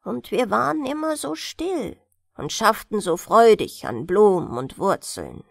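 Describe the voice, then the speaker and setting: Woman voicing a plaintive little mandrake man, slow and earnest. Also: mid-range voice, very animated, vocal fry, no echo, studio quality, full character voice. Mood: sorrowful, plaintive. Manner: earnest, sorrowful, entreating. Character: small male mandrake sprite, gentle, plaintive, eager. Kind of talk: fairy-tale audiobook character.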